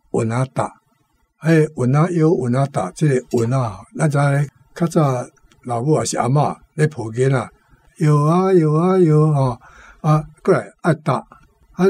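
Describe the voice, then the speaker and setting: A man's voice: a little high, darkish tone. An older man with a Taiwanese accent, a guest teacher explaining words informally and repeating them to demonstrate.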